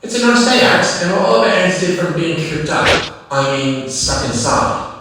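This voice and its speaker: A fussy, easily exasperated head scientist played by a man in a cartoon. The voice is high and swings widely as it declaims and scolds. It sits far from the mic in a very echoey room. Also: lively intonation, normal speed. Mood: coaxing. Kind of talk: cartoon fandub acting. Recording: distant echoey room, noisy, clicks.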